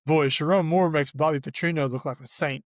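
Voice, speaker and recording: Hoarse man hosting a sports podcast. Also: on the high side, fairly even intonation, a little fast, no room sound, studio quality. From Arkansas. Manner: laid-back, laughs often. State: hoarse from a cold. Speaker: podcast host. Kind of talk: casual sports chat.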